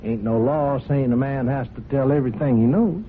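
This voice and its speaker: An actor playing a sly, folksy farm hand turned blackmailer in a radio drama, falsely amiable and insinuating. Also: male voice, medium pitch, brisk, natural voice. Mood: sly, accusing. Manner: insinuating, falsely amiable, chuckling, hesitant phrasing. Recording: muffled, noisy old radio broadcast recording.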